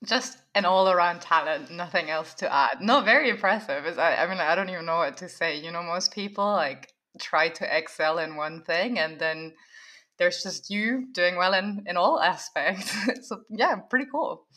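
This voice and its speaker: A woman's voice: on the low side, normal speed, pushed and bright. A woman from Germany, hosting a podcast and asking long, chatty questions. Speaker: podcast host. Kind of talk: podcast interview.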